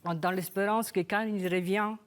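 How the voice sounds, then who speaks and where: A woman's voice: mid-range voice, fairly even intonation. Italian woman speaking French with Roman accent. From Italy. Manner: hesitant, searching for words, halting restarts. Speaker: author guest. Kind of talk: television interview with a read passage. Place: television studio.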